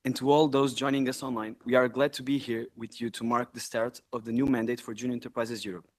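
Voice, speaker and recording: A young man from Portugal, the incoming president of a student network, giving an earnest, measured formal speech in a large hall. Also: mid-range voice, level tone. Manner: earnest, measured, occasional self-corrections.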